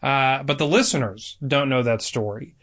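A man's voice: medium pitch, moderate pace, fairly bright tone. A man hosting a podcast in a casual, chatty and informal interviewing style.

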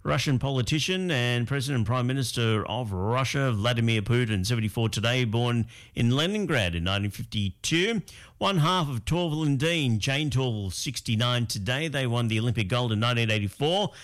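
Aussie male breakfast-radio host, laid-back, chatty, lots of "uh"s. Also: medium pitch. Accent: Australian. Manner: relaxed, with frequent fillers and pauses. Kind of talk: local radio birthday segment.

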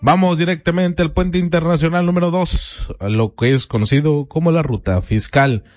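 A man's voice: a little high, moderate pace, darkish tone, dry recording, muffled recording, faint music behind. A man presenting a local news bulletin in a conversational way, speaking straight to the listener and leaning on fillers. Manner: chatty, filler-heavy, addresses listener directly.

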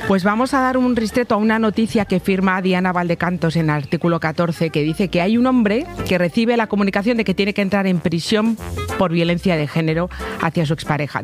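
A woman with a Peninsular Spanish accent giving opinion commentary on a morning radio show. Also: lowish voice.

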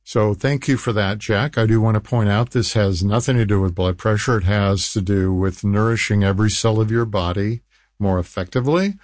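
A man with a fairly low voice, recorded close and dry. He presents like a health radio host selling supplements, deliberate and emphatic, leaning on key phrases and repeating them. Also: darkish tone, dry recording. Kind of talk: infomercial radio talk, reading testimonials.

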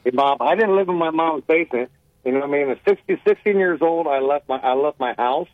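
An older man with a deep voice, calling in to a talk radio show and chatting in a blunt, rambling, folksy way. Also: fast, no echo. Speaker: caller. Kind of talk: casual call-in chat. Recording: tinny phone line.

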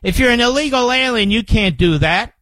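A man's voice: extremely high pitch, lively intonation, a little fast, fairly bright tone, dry recording. An older man with an American accent hosting a political talk radio show, emphatic and combative, repeating phrases to drive his point home. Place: radio studio. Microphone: close studio microphone.

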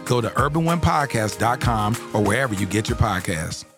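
A man voicing a scripted podcast promo in a smooth, even announcer read. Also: fairly low pitch, a little fast. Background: music.

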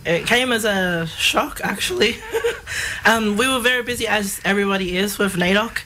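A woman's voice: mid-range voice, normal speed, sharp tone, a little hiss or hum, low-quality recording. A woman with an Australian accent and a voice worn from overuse, talking casually as an interview guest. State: strained voice from overuse. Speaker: general manager, interview guest.

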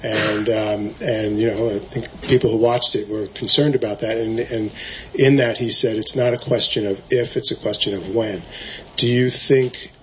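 Soft-spoken hesitant man asking a question. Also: on the low side, steady tone, normal speed, a little hiss or hum. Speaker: audience member asking a question. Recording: dull lo-fi live event recording.

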